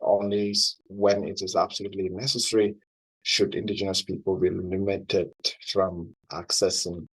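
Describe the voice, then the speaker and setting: A man's voice: low-pitched, husky, poor audio. A man from Cameroon, giving a formal, earnest talk to a class as a guest speaker on wildlife management.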